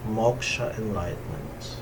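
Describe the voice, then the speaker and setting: A middle-aged man with a Russian accent talks casually to camera as a yoga teacher, meditative and halting as he searches for words. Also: lowish voice. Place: small indoor room at home ashram. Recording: distant mic, clearly noisy room sound.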